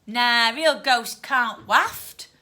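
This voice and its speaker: A woman's voice: fairly high pitch, extremely slow, pushed and bright, exaggerated voice. A woman voicing an impatient, cheeky Cockney ghost boy in a children's storybook, blunt, slangy and mocking. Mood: impatient, bossy. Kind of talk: children's storybook read aloud.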